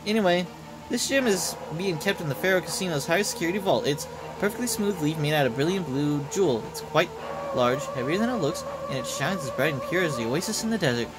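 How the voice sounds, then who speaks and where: A man's voice: on the high side, animated, fairly quick, background noise, close to an everyday voice. Man reading as a greedy, flippant game goddess. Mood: businesslike. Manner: breezy, pushy, self-satisfied. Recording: loud game music behind voice.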